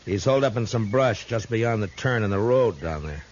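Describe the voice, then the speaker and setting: An actor playing a calm, stern, world-weary frontier marshal with a terse, dry delivery, in 1950s radio drama style. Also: male voice, on the low side, normal speed. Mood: steady, commanding. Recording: scratchy, muffled 1950s radio recording.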